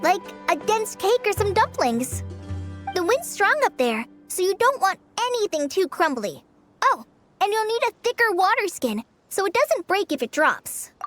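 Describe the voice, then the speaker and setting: A woman voicing a gentle, caring teenage girl with an earnest manner in a video game. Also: very high voice, very animated, moderate pace, soft background music, exaggerated voice. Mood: helpful concern. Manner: earnest, practical, considerate. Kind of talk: video game cutscene acting.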